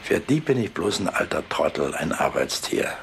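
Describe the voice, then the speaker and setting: A man's voice: low-pitched, very animated, moderate pace, creaky, a bit bright, a little hiss or hum, heavily acted. An actor in a radio drama playing a weary, sly, stoic old working man, musing to himself in a muted way. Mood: quiet satisfaction. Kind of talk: German radio drama acting.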